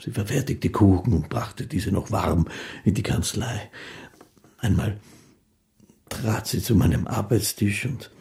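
Gentle, creaky-voiced amateur fiddler. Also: male voice, lowish voice, a little slow, pauses often. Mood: wistful, rambling. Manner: hesitant, digressive, earnest, old-fashioned formal speech. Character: gentle, shy, rambling amateur fiddler in love with music. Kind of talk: first-person literary radio drama acting.

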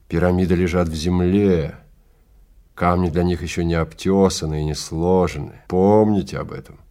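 Stern expedition leader, played by a male narrator, low voice, authoritative and emphatic, audio drama. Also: a little mellow. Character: stern, commanding male time-safari guide.

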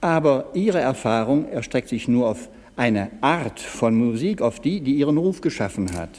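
A man's voice: medium pitch, expressive, normal speed, darkish tone, lightly acted. A man playing a persistent, formal interviewer in a staged radio interview, probing and puzzled, recorded in a reverberant hall.

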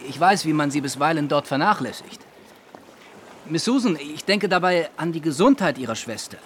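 An actor playing a courteous, self-assured Regency gentleman suitor in a radio play, with a polished, persuasive, formal manner. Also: a little high, animated, normal speed, a little hiss or hum. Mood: solicitous. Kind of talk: period radio drama acting.

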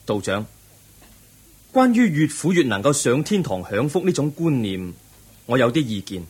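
A man acting in a Cantonese radio drama as a young prince seeking truth, earnest and measured, with a Hong Kong accent. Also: medium pitch, big pitch swings, slightly rough. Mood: earnest, firm. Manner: measured, earnest, declamatory, preaching.

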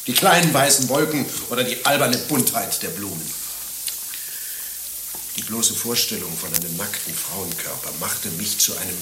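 A man's voice: fairly low pitch, roomy sound, background noise, natural voice. An actor playing a lovesick, naive middle-aged former monastic in a German radio play, declaiming his confessions with rhapsodic anguish. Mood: wistful, ashamed.

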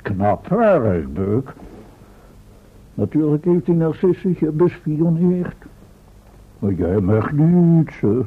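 An actor playing a stern, critical professor in a Dutch radio drama, with a husky voice. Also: male voice, a little high, big pitch swings, slow, long pauses, heavily acted. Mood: stern, scolding. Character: stern, critical male professor leading time travellers. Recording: tinny, narrow old radio recording.

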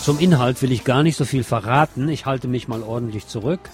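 A man's voice: mid-range voice, brisk, a little mellow, soft background music. A man giving a personal, opinionated film review in a conversational radio commentary style.

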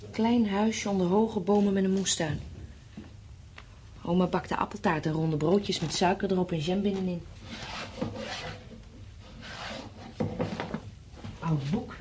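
An actress playing a restless, distrustful and wistful wife in a Dutch radio play, with a needling, musing way of talking. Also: female voice, on the low side, a little hiss or hum. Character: restless, thirsty wife scavenging an abandoned house, distrustful and wistful.

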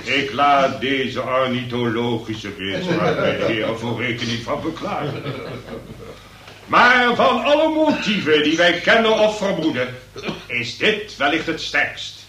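An actor playing a pompous, florid magistrate in a radio play, with a theatrical, oratorical and emphatic manner. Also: male voice, mid-range voice, big pitch swings, a little slow, pushed and bright, a little hiss or hum. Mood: pompous, grandiose. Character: pompous, florid, grandly magnanimous male examining magistrate. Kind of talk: Dutch radio play acting. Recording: echoey courtroom sound, distant from mic.

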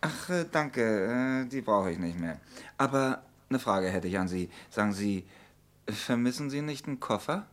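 A sardonic police investigator acted by a man in an old radio crime drama. He questions sceptically and insistently, with dry irony in every pointed phrase. The voice sits at a medium male pitch. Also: animated, moderate pace, lightly acted. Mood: probing, ironic. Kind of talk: mid-century German radio crime drama acting.

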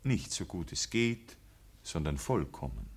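Low-voiced, stern village priest. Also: male voice, big pitch swings, slightly rough, heavily acted. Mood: fervent, admonishing. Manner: preachy, formal, measured, insistent. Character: stern, pious, unbending young-in-office village priest. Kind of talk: radio play acting, clerical declamation.

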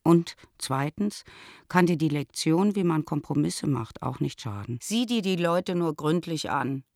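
A woman's voice: low voice, animated, a little slow, a little mellow, no room sound, clean studio sound. An actress playing a concerned, middle-aged mother who measuredly lectures her grown daughter by letter in a radio play. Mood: admonishing, concerned.

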